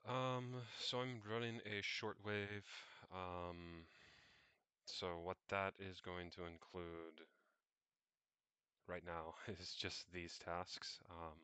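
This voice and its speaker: A young man narrating what he does on screen, unscripted, in a halting, meandering way as he thinks aloud. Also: low voice, steady tone, bright, forceful tone, clean tone, no echo. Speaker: vlogger.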